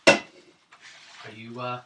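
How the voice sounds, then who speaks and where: A man's voice: mid-range voice, monotone, extremely slow. A man casually refereeing a tabletop role-playing game as game master. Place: indoors around a gaming table. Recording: roomy, distant mic, loud rattly table noise.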